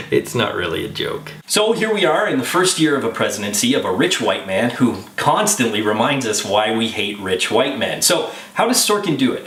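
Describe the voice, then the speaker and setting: A man's voice: medium pitch, a bit bright. A man delivering scripted film review commentary as a host, with a wry, opinionated, emphatic manner. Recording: roomy, echoing sound.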